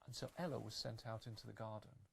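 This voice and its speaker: A woman with an unusually deep, creaky voice narrates a fairytale for children. She is agreeable and a little halting, making the story up as she goes. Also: fairly even intonation, a little mellow.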